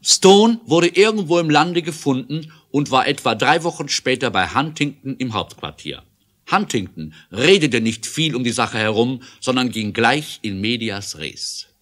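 A man's voice: mid-range voice, lively intonation, a little slow, a bit bright. A man narrating a 1970s German adventure audio drama as a matter-of-fact storyteller.

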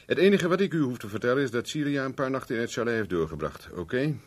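An actor in a 1970s Dutch radio play, playing a cocky private investigator with a dry, teasing, self-mocking manner. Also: male voice, mid-range voice, expressive, fast talker, close to an everyday voice. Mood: firm, explaining. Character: wry, cocky male private investigator for hire.

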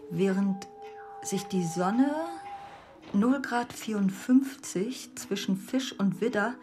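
An actress playing an astrologer who earnestly explains a birth chart in a radio play, measured and explanatory. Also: female voice, mid-range voice, natural voice. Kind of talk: monologue in a literary radio play. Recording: music under the voice.

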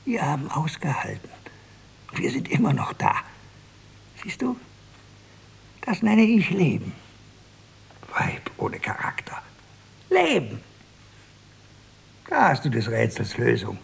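A man's voice: a little high, huge pitch swings, slow, long pauses, exaggerated voice. An actor playing a defiant, cranky old husband in his eighties, declaiming in a radio drama. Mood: hushed, stubborn. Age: 80s. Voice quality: husky, gravelly. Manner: declamatory, swinging from shouts to whispers. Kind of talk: 1960s German radio drama acting.

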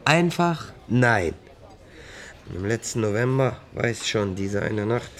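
A man's voice: on the low side, expressive, takes it slowly. A man playing a troubled, conscience-stricken actor colleague in a German radio drama, probing and reflective. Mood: uneasy, probing.

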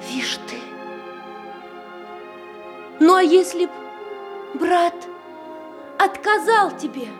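A pouty girlish princess, super high voice, slow and teasing, radio play with faint music. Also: expressive, full character voice. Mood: coy teasing. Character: coy, pouting young princess in love. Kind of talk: theatrical radio play acting.

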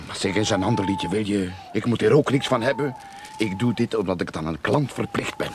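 An actor playing a cocky, sardonic private detective in a radio drama, with a Flemish accent and a probing, theatrical delivery. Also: male voice, on the low side, fairly quick, background noise, natural voice. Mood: hushed, exasperated. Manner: probing, theatrical, self-assured explaining. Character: cocky, sardonic male private detective who theorizes boldly.

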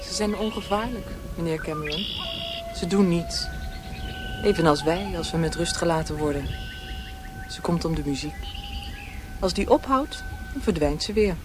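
Actress as a languid hostess, noisy recording. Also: female voice, on the low side, expressive, moderate pace, long pauses, lightly acted. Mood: calm, reassuring. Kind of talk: radio drama acting.

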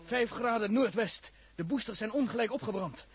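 An actor playing a nervous mission control technician with a husky voice in a 1960s radio drama. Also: male voice, sits high, moderate pace, no room sound. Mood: nervous, tense. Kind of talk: 1960s Dutch science fiction radio drama.

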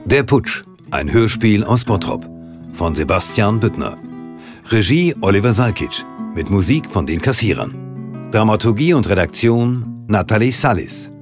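Male announcer, expressive melody, scripted credit reading, radio play, prominent guitar music behind. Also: fairly low pitch, measured pace.

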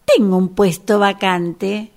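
An Argentine woman acting in a radio drama as a self-possessed woman, speaking in a deliberate conversational way. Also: mid-range voice, fairly even intonation, darkish tone. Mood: bitter, gloating. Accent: Argentine, Rioplatense.

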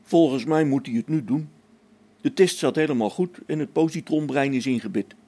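A man's voice: medium pitch, normal speed, warm tone, close to an everyday voice. A man playing a practical, patient engineer on a space station in a science fiction radio play, explaining things matter-of-factly. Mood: assured.

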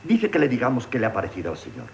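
Meek smitten servant, Castilian accent, very lively intonation, halting and respectful, old radio comedy style. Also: male voice, medium pitch, highs cut off, a little hiss or hum. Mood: deferential. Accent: Castilian Spanish. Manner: hesitant, deferential, starts with "eh". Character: timid, lovestruck manservant, deferential and long-suffering. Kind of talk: 1970s Spanish radio theatre acting.

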